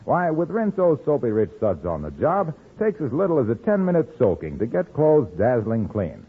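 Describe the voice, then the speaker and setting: A man's voice: medium pitch, wildly swooping pitch, moderate pace, husky. An American man delivering a 1940s radio commercial as an emphatic, salesmanlike announcer. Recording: muffled old radio recording.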